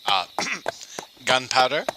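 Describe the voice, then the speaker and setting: A man's voice: medium pitch, lively intonation, slow, noisy background. A man playing a nervous, boastful, lovesick schoolmaster with a fussy, hesitant manner in an old-time radio drama style. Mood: giddy, boastful. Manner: stammering, fussy, genteel, hesitant. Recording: music underneath.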